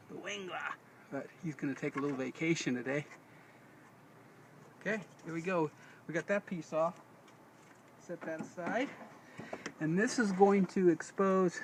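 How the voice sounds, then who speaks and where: An American man giving a casual how-to demonstration as host of a DIY video, in a folksy, rambling way, often correcting himself. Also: on the high side. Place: outdoors beside a vehicle. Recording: noisy on-location camera audio.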